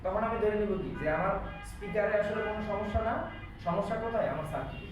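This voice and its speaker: A man's voice: high-pitched, quick pace, over music. A man with a Bangladeshi accent teaching an interactive electronics repair class, explaining and often asking check questions. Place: classroom, indoors. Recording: echoey room, distant mic, background noise.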